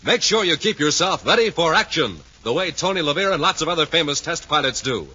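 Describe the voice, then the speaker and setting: A dull, faintly noisy fifties radio recording carries an American man announcing. His bright voice moves in lively swings, with dramatic, punchy emphasis. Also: a little high, fairly quick. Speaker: announcer and narrator.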